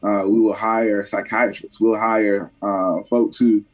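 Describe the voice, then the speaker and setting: A man, answering interview questions haltingly with many fillers and restarts. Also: lowish voice, flat delivery, distant mic. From Columbia, South Carolina. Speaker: mayoral candidate. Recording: voice somewhat off mic.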